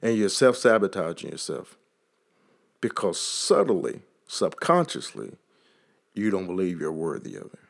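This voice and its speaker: Texas guy, lowish voice, motivational podcast talk, keeps repeating lines and building it up. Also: sing-song, a little slow, vocal fry. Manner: repetitive, building preacher-like emphasis. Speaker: podcast host.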